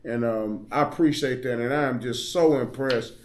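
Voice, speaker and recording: A man's voice: medium pitch, moderate pace, slight background noise. A man hosting a podcast, reading a guest's bio aloud and then chatting with her in a folksy, encouraging way. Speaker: podcast host and coach. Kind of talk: podcast interview.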